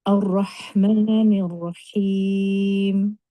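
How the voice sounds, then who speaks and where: A woman co-hosting an online study session, reciting verses slowly and carefully between brief casual remarks. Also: medium pitch, flat delivery, warm tone, no room sound. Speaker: co-host. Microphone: video call.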